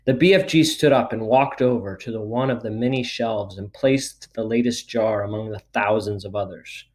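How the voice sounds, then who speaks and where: A man's voice: on the low side, steady tone, moderate pace, darkish tone. A man narrating a children's storybook, reading it aloud. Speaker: storybook reader. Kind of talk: scripted narration, children's book read aloud.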